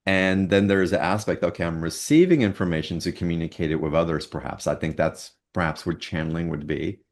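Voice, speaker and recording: A man hosting a spiritual talk, asking thoughtful questions in a soft, halting way. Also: low voice. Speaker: host. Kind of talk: casual interview chat.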